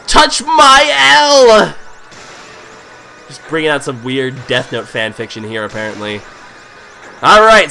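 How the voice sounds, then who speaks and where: A man hoarse from a cold talks through a game, his voice nasal and raspy but still bright and keen. His pitch sits a little high and swings widely as he jokes and thinks aloud. Faint game music plays behind him. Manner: playful, jokey, thinks aloud. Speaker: let's play host. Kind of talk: casual gameplay commentary.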